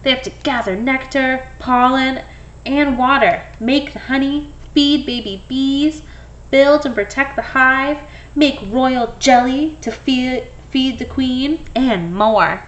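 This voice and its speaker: A woman reading a children's picture book aloud in an expressive, storytelling way. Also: high voice, takes it slowly, highs cut off. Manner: expressive, acts out dialogue. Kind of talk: animated children's read-aloud.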